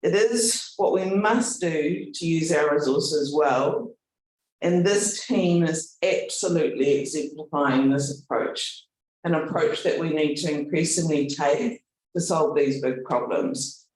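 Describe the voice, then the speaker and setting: A man's voice: on the high side, measured pace, a little mellow. A man with a New Zealand accent gives a formal launch speech as a university leader, earnest but slipping in light self-deprecating jokes. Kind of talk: formal welcome speech with jokey asides. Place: large event room with an audience. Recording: very echoey room, voice from far off.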